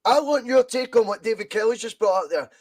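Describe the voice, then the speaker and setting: A man's voice: high-pitched, lively intonation, fast. A Scottish man hosting a podcast, talking football with passion and repeating points for emphasis. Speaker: podcast host. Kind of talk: casual football chat.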